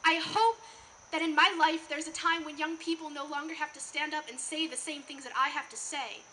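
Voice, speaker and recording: A woman's voice: high voice, fairly quick, pushed and bright. A teenage young woman performing slam poetry live with an emphatic, earnest, rhetorical delivery. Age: teens. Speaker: slam poet. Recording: noticeable background noise, live event.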